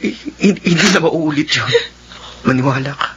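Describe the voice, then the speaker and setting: Bright-voiced, pleading Filipino husband. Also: male voice, fairly high pitch, expressive, slightly rough, dull audio, heavily acted. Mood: remorseful, pleading. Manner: halting, pleading. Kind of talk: Filipino radio drama acting.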